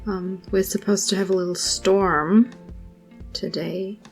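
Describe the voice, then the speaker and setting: A woman hosting a draw-along at her art desk, chatting casually with lots of fillers, her voice a little hoarse. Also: mid-range voice, takes it slowly, faint music behind. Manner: relaxed, rambling, many fillers. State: slightly hoarse. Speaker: art channel host. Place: home art desk, indoors.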